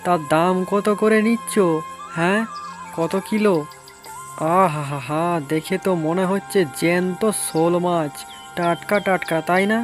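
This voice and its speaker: A man voicing a hearty village market man in an animated moral story, hawking and haggling. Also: high voice, measured pace, music in the background. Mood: hearty, interested. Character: hearty male village meat seller and fish buyer.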